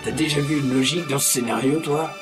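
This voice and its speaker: A man's voice: medium pitch, fairly even intonation, quick pace, close to an everyday voice. A man playing a casual, wry pirate crewmate in a comic audio drama, speaking matter-of-factly. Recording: loud music behind the voice.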